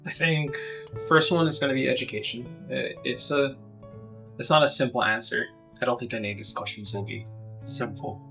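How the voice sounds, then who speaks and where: A man from Jamaica speaking in a casual conversation, measured and thoughtful with frequent pauses and fillers. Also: mid-range voice, creaky. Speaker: guest. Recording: soft guitar music underneath.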